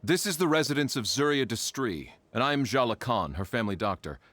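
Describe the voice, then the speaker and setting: A man playing a sombre, courteous family doctor in a video game, speaking in a formal, measured, grave way. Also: medium pitch, sing-song, a bit bright. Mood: guarded.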